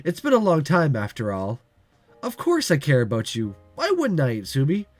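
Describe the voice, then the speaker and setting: Male let's play host, lively delivery, reads game text then riffs casually, faint music underneath. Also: fairly high pitch, normal speed, dry recording. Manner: breaks off reading for rambling, joking asides. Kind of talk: reading a visual novel aloud with casual joking commentary.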